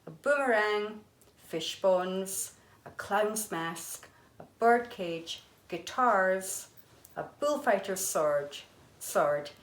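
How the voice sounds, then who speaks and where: A woman's voice: medium pitch, lively intonation, extremely slow. A woman, an art teacher, reading a children's storybook aloud expressively. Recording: echoey room.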